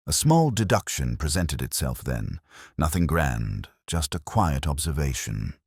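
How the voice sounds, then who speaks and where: Very deep-voiced man narrating a detective story. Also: breathy edge, no echo, studio quality. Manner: measured, reflective, literary delivery. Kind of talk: scripted first-person literary narration.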